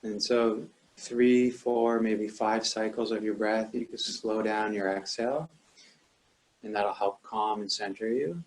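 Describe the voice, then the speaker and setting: Male meditation teacher, lower-pitched voice, calm and measured, leaving long pauses between instructions.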